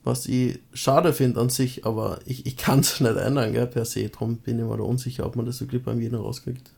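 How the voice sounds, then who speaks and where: Male podcast participant, creaky mid-pitched voice, casual and hesitant. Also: steady tone, a little fast, darkish tone. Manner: hesitant, repeats words as he starts. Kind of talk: casual chat.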